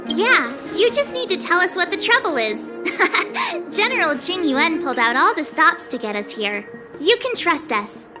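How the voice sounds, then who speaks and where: A woman's voice: extremely high pitch, big pitch swings, moderate pace, over music. A woman voicing a confident, breezy young female traveler in a video game. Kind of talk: video game cutscene acting.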